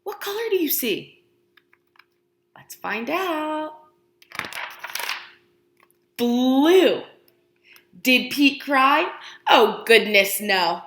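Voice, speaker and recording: A woman teacher doing an expressive picture book read-aloud for young children, asking questions with encouraging, exaggerated delivery and pausing for answers. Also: high voice, roomy sound, slight background noise.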